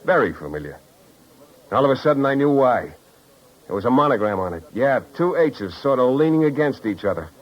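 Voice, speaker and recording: A man's voice: medium pitch, very animated, brisk, close to an everyday voice. Man playing hard-boiled private detective, wisecracking, slangy, sardonic, mid-range voice, dull vintage radio recording. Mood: brooding, wry. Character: wry, tough male private detective, world-weary and sardonic. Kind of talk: 1950s radio detective drama acting and first-person narration.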